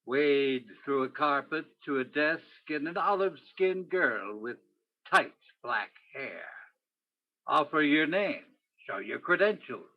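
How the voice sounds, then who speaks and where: Terse male police detective, acted by a man, highish voice, swinging pitch, clipped radio-noir delivery. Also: lively intonation, slow pace, lo-fi sound. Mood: wry, dramatic. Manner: clipped, theatrical second-person narration and blunt questioning. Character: terse male police detective narrating his own case. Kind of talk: old-time radio crime drama acting.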